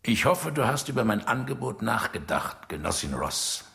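Soviet dictator, teasing, mock-jovial, menacing, lively swooping intonation, radio drama. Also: male voice, mid-range voice, normal speed, vocal fry, background noise. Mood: probing. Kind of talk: German radio drama acting.

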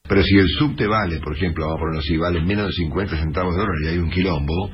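Muffled radio, argentine guy talking fast, arguing, pushy, bit of noise. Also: deep voice. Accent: Argentine Spanish. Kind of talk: informal radio argument. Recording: dull radio clip, faint noise.

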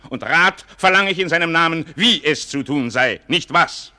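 Sharp, forceful, imperious commander. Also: male voice, on the high side, expressive. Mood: cold, imperious. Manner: commanding, measured, formal, declamatory. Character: stern, imperious royal commander demanding absolute obedience. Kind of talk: 1940s German radio drama acting, theatrical declamation.

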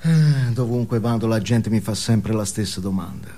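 A male actor playing a guru who tells a parable in deliberate, suspenseful theatrical style. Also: on the low side, mellow, slight background noise, close to an everyday voice. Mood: mock-solemn. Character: manic guru as hushed, folksy male storyteller. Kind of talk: dramatic theatrical storytelling.

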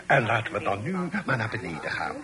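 A man's voice: lowish voice, expressive, quick pace, crowd noise, natural voice. An actor playing a genial, persuasive middle-aged father in a Dutch radio play. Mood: practical. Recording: noisy, clicking old radio recording.

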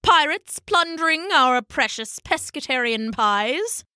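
Woman voicing a bumbling, animated old gentleman penguin. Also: high voice, slow, no room sound, clean studio sound, voice pushed up, exaggerated voice. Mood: pompous outrage. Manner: alliterative, hesitant, pompous. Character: elderly male penguin, bumbling, pompous, earnest schemer.

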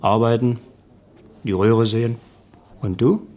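A man's voice: medium pitch, fairly even intonation, takes it slowly, a little mellow, lightly acted. An actor playing an easygoing, chatty family man in a radio play, talking in a colloquial, conversational way. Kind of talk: German radio play acting.

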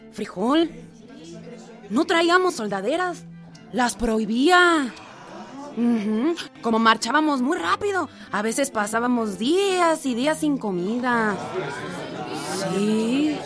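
A woman's voice: a little high, lively intonation, measured pace. A woman playing a plainspoken former boy soldier giving testimony, in a radio drama. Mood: reminiscing. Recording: music behind.

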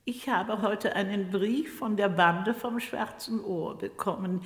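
A woman plays a dotty aristocrat in an old radio crime comedy. She speaks slowly and statelily, utterly matter-of-fact, with long reverb trailing her words. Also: mid-range voice, natural voice. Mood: imperturbable. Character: dotty, imperturbable aristocratic lady. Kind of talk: comic radio crime drama acting.

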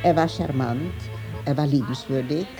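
An older woman in an interview, reflecting haltingly and searching for words as she recalls someone. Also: low-pitched, over loud music.

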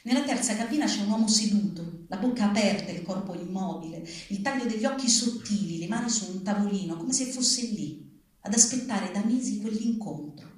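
A woman gives a literary reading in a measured, solemn way. The room is strongly reverberant and she sounds some distance from the microphone. Her pitch sits in the middle range. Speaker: reader at a public literary reading. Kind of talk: literary reading aloud, grave narrative prose. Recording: very echoey room, distant from the mic.

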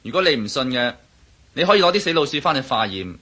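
A sly, evasive henchman in a radio drama, with a Hong Kong Cantonese accent. He sounds glib and mocking, with a sharp, slightly raspy tone at a middling pitch. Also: big pitch swings, quick pace. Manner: sarcastic, glib.

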